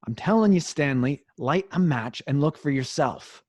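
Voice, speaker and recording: An actor playing a nervous, grumbling accomplice in an old-time radio drama, stammering and complaining. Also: male voice, on the high side, animated, normal speed, darkish tone, slightly rough, dry recording. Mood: alarmed.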